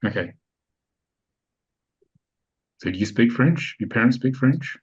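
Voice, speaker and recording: A man's voice: medium pitch, animated, takes it slowly, husky. A man presenting a spiritual webinar, explaining step by step and often checking that his audience follows. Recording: dull lo-fi online call audio.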